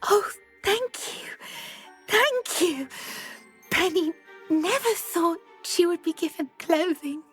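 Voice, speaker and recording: A woman's voice: very high-pitched, lively intonation, slow, soft background music, exaggerated voice. A woman voicing a small, timid and devoted female house elf in a video game, talking of herself in the third person. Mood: tearful relief. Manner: refers to self in third person. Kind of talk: video game character acting.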